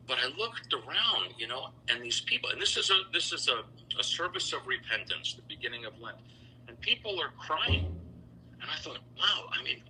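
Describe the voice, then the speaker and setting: A man's voice: medium pitch, pushed and bright, a little hiss or hum, rough recording. A middle-aged man telling anecdotes conversationally as an interview guest, voicing the dialogue he recalls. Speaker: interview guest, businessman and choral bass singer.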